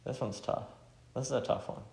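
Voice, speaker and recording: A man's voice: fairly quick, darkish tone. A man giving casual commentary as he plays a game. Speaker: gamer playing a visual novel. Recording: roomy sound, faint music behind.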